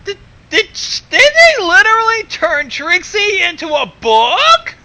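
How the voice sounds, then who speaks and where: A woman giving loud, over-dramatic, shrill reactions in casual banter. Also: wildly swooping pitch, unhurried, a little hiss or hum.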